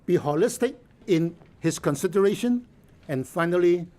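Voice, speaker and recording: An older man, a senior official giving measured, formal interview answers. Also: high-pitched, expressive, a little mellow.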